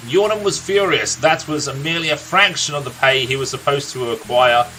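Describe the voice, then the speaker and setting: A man playing a gruff, irritable older villager in a video game, reading out a tale in a blunt storytelling manner. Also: a little high, normal speed, sharp tone, slight background noise, low-quality recording. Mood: dramatic storytelling.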